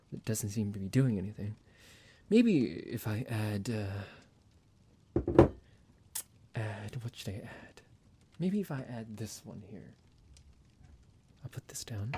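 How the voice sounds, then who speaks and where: A man's voice: lowish voice, vocal fry, dark tone, slight background noise, heavily acted. A man playing a psychotic vampire doctor in an ASMR roleplay, condescending and playful toward a captive, with mock-polite, hesitant, musing delivery. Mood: frustrated muttering.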